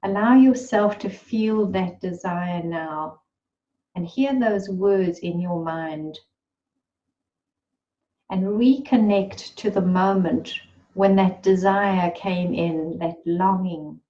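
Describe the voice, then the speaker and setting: A woman leading a guided meditation in a gentle, soothing, unhurried way. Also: fairly low pitch, lo-fi sound. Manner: slow, soothing and unhurried, with long pauses.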